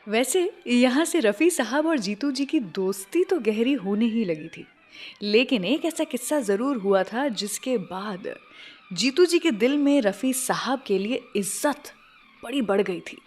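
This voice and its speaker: A woman's voice: on the high side, animated, unhurried, a little mellow. An Indian-accented woman hosting a radio show with scripted narration, addressing listeners directly and mixing in English phrases. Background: music bed.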